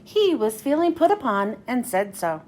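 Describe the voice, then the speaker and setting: A woman reading a children's storybook aloud as narrator, in an expressive, deliberate way. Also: fairly high pitch. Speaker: children's book read-aloud host.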